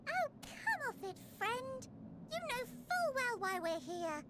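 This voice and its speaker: An actor voicing a small, eager and earnest rabbit-like creature in a video game, excitable and pleading in manner. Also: male voice, extremely high pitch, slow, noisy background, exaggerated voice. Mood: urging. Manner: excitable, pleading, flowery British phrasing. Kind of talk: video game cutscene acting.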